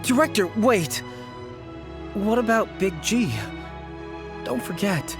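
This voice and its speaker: An actor playing an anxious, pleading underling in a video game. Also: male voice, sits high, takes it slowly, music in the background.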